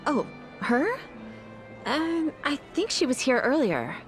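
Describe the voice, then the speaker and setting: A helpful chatty game character, high lively voice, bit of music behind. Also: female voice, takes it slowly, heavily acted. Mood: helpful, musing. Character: helpful, starstruck woman party guest. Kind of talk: video game voice acting.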